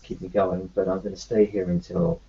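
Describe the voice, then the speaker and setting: A man roleplaying his character in a tabletop game, talking in a calm, reassuring, matter-of-fact way. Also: deep voice, a little fast, creaky, lo-fi sound.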